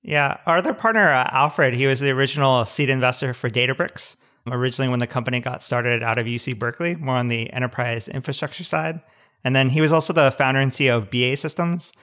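Man, podcast guest, relaxed, matter-of-fact, mid pitch. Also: quick pace. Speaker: guest investor. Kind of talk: casual podcast interview.

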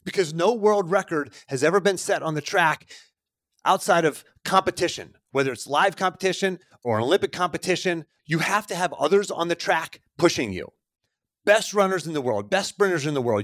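A man's voice: fairly high pitch, expressive, normal speed, fairly bright tone, no echo, clean studio sound. A man in his forties, a podcast host giving an earnest motivational talk straight to the listener. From Pittsburgh, USA. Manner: earnest, coaching, addresses listener directly. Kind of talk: motivational monologue.

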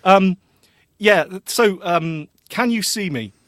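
A man's voice: high-pitched, takes it slowly, a bit bright, dry recording, clean studio sound. Chatty laughing male podcast co-host. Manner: chatty, laughs often, goes off on tangents. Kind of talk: casual tech chat.

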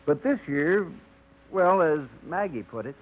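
Measured male radio drama narrator. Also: a little high, lively intonation. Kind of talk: scripted narration. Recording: tinny old radio broadcast over music.

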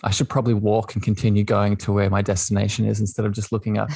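A man's voice: fairly low pitch, completely flat delivery, quick pace, gravelly, a little hiss or hum. A man hosting a podcast, talking in a gushing, spiritual way with his guest. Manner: warm, gushing, spiritual phrasing. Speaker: podcast host. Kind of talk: casual podcast interview.

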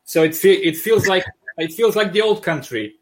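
A man with a thick Serbian accent speaks in a high-pitched voice over a video call. He is a comic artist guest telling stories in an animated, joking way, often restarting his sentences. The talk is loose and friendly. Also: moderate pace.

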